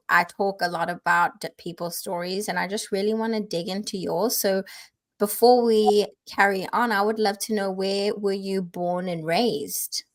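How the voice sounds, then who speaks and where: A woman's voice: medium pitch, fairly bright tone. A woman hosting a podcast, asking questions in an upbeat, encouraging way. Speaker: podcast host. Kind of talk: interview hosting.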